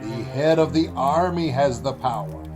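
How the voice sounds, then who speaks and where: Scheming army commander played by a man: mid-pitched, measured, knowing, faint music underneath. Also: expressive, lightly acted. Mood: calculating, confident. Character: calculating, ambitious army commander plotting for a throne. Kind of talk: audio Bible drama acting.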